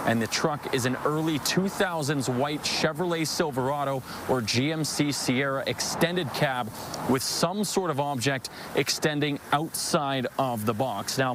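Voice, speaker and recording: A man reporting live from an outdoor city street, giving a steady, clear account. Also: medium pitch. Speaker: field reporter. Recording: street noise behind.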